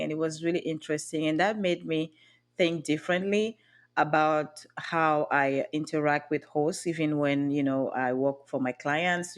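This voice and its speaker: A woman from Cameroon with a Cameroonian accent, speaking earnestly as a podcast guest and often restarting her sentences. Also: deep voice. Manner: earnest, with frequent restarts and light laughs. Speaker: podcast guest, booking agent. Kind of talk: reflective interview talk.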